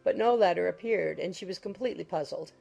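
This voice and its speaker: A woman's voice: on the low side, fast talker, creaky, dark tone, lo-fi sound, soft background music. A woman reading scripted literary narration as an audiobook narrator.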